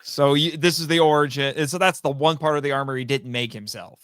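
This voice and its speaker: Male podcast guest, crisp resonant voice, conversational storytelling, very dry sound. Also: fairly high pitch, steady tone, quick pace, a bit bright, no room sound. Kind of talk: casual chat, explaining a story.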